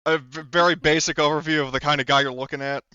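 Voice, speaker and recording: Male game master: smooth mid-range voice, casual improvised narration, rambling, close and dry. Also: fairly high pitch, fairly even intonation, fast talker, pushed and bright, dry recording, muffled recording. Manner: casual, rambling, often says like.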